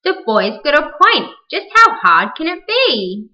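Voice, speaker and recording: A woman's voice: high voice, big pitch swings, measured pace, sharp tone, far from the mic, exaggerated voice. A woman playing a townsperson in an amateur rhyming puppet show, declaiming the lines. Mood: admiring. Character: admiring townsfolk in a rhyming storybook.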